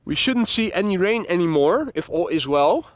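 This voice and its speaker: A man with a Dutch accent hosting a live plane-spotting stream, calmly explaining runway changes and reading out weather reports. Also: sits high, normal speed, no echo. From Netherlands. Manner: calm, explanatory, chatty, reads out weather reports. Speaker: plane-spotting livestream host. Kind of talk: live commentary. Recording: loud wind buffeting the mic.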